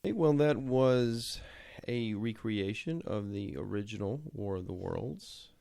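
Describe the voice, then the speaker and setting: Creaky-voiced mature man chatting haltingly. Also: lowish voice, animated, mellow, dry recording. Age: middle-aged or older. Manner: halting, searching for words. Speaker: show co-host. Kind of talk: casual chat.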